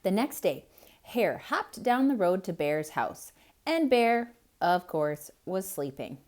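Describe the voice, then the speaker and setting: A woman telling a story to children, reading a picture book aloud in a theatrical way. Her delivery is slow and unhurried. Her pitch rises and falls a lot, and she stops now and then to ask questions. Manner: expressive, asking the listener questions. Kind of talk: theatrical read-aloud for kids.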